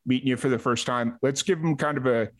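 A man's voice: mid-range voice, quick pace. A man hosting a music podcast, chatting casually with his guest. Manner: relaxed, chatty, with filler words and restarts. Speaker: podcast host. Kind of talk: casual interview chat.

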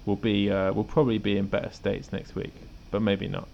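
A man co-hosts a podcast in loose, rambling chat, chuckling as he goes. His voice sits low with a gravelly edge. The recording sounds a little dull, with a faint hiss underneath. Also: level tone, a little fast. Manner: laid-back, rambling, chuckles often. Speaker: podcast co-host. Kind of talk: casual chat.

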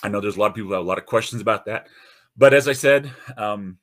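A man with a low, radio-ready voice talks straight to his listeners as host of his own show. He sounds earnest and encouraging, stumbles into restarts and fillers, and keeps the tone casual and warm-hearted. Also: fast. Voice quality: deep radio-style voice. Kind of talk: casual podcast monologue.

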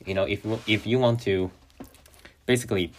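A young man whose first language is Chinese, hosting a solo podcast and talking in a halting way with many restarts. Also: on the low side, steady tone, slight background noise. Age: young adult. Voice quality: halting, with frequent restarts and fillers. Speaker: podcast host.

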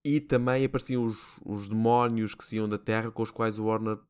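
A man's voice: lowish voice, fairly even intonation, brisk, a little mellow, clear, resonant tone. A man with a European Portuguese accent, co-hosting a podcast in casual chat, joking and laughing as he steers the topics. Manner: jokey, laughs often, leads the segment. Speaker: podcast co-host.

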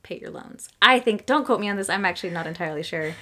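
A woman's voice: medium pitch, animated, fast, fairly bright tone. A young woman co-hosting a podcast, chatting casually and rambling with lots of fillers and laughter. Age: young adult. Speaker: podcast co-host. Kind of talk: casual chat.

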